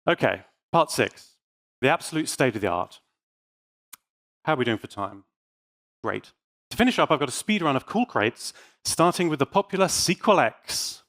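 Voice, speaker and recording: A man's voice: mid-range voice, lively intonation, normal speed, pauses often, fairly bright tone. A man giving an informal conference talk on tech in a playful, dryly joking and mock-defiant manner. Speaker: conference speaker.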